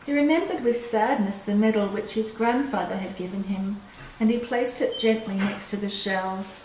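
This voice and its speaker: An older woman reading a children's storybook aloud in a measured, expressive way. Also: medium pitch. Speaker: storyteller reading a picture book. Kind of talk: scripted storybook narration for children. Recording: roomy echo with clear background noise.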